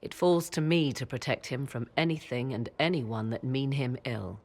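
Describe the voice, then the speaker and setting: An actress playing an aloof, mysterious sorceress and protective mother in a video game, speaking in measured, archaic, theatrical phrases. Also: extremely low pitch, darkish tone. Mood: brooding, solemn. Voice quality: husky, creaky. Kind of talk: dramatic video game dialogue.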